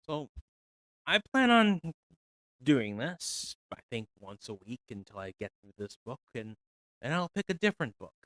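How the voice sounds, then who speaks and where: A man cold reading folk tales aloud on a stream, unhurried and measured, his voice feeling a little rough after an hour. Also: medium pitch, very animated, fairly bright tone, no echo, poor audio. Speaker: reader.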